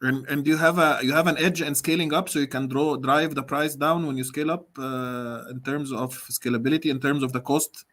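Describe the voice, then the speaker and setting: Mid-pitched man judging, unscripted. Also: fairly even intonation, brisk, few pauses, a bit bright. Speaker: competition judge. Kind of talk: unscripted questioning. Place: online video call.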